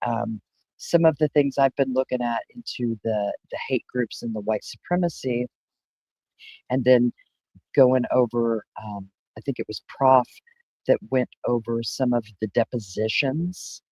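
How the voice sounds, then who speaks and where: A man co-hosting a casual discussion lays out his thinking in a measured, methodical way. His voice sits at an ordinary middle pitch. The recording is dry and close. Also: darkish tone, no room sound, low-quality recording.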